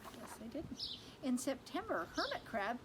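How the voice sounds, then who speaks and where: A woman's voice: sits high, big pitch swings, moderate pace. A woman teacher reading a picture book to children expressively, adding explanations and questions for them. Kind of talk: storytime read-aloud with asides to children. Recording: heavy background noise.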